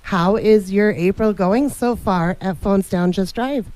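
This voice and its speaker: A woman's voice: medium pitch, measured pace, a little mellow, no echo, poor audio. Upbeat woman radio host, dry studio sound. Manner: upbeat, chatty, introduces guests and sponsors. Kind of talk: casual radio interview.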